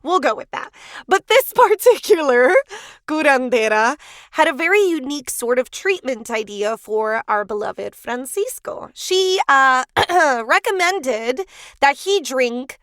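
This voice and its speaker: A high-pitched woman with a slightly raspy voice tells a legend on a podcast, playful and quick to laugh. The sound is close and dry, with no room around it. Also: lively intonation, a bit bright, no room sound. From Cuban family background. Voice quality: raspy morning voice. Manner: playful, laughs often, acts out quotes. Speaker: podcast co-host. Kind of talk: casual storytelling chat.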